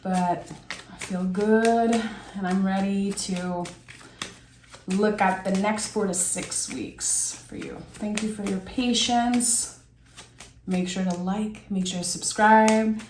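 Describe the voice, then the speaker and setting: Slow-talking raspy woman tarot reader. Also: mid-range voice, roomy sound, a little hiss or hum. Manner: gentle, reflective, thinking aloud. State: raspy from being sick. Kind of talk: soft, slow tarot reading.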